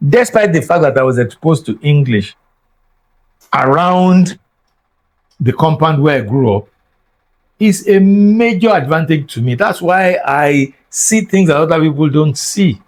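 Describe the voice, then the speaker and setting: A man's voice: fairly high pitch, lively intonation, unhurried. An older man from Nigeria with a Nigerian accent and Yoruba as his first language, speaking as a guest scholar in an interview in an emphatic, lecturing way that repeats words for stress. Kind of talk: reflective interview talk.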